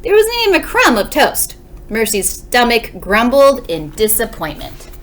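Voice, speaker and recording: Lady teacher doing a read-aloud for little kids, really animated pitch, explains stuff as she goes. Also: a little slow. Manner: explains and asks questions to young listeners. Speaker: second grade teacher. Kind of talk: expressive children's read-aloud.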